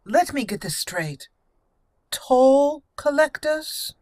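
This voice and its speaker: Slow, theatrical woman gamer in a roomy space. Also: high voice, sing-song, darkish tone, clean studio sound. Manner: giggly, theatrical, switches into in-character speech. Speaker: gameplay channel host. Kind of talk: roleplay game commentary. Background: faint game music.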